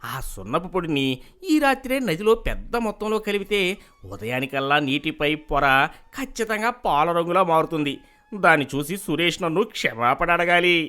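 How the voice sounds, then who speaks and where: Greedy village priest, scheming, blustering, pleading, lively swinging pitch, cartoon. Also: a little fast. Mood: plotting. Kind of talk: animated cartoon voice acting.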